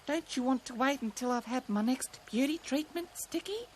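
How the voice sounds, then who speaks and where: A woman's voice: high voice, lively intonation, moderate pace, darkish tone, pitched above the performer's own voice. A woman voicing a vain, fussy female rabbit in a children's story, prissy and dramatic. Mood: coy.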